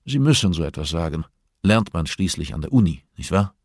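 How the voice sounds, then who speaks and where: A narrator plays an arrogant male prison inmate in an audiobook. He talks down to his listener in a deliberate, cutting manner, with a low voice and a very dry sound. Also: animated, a little mellow, husky, dry recording, exaggerated voice. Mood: condescending. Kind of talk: audiobook character dialogue.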